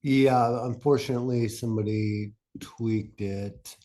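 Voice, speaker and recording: A man presenting in an informal workshop, talking in a conversational, somewhat halting way. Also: medium pitch, steady tone, warm tone.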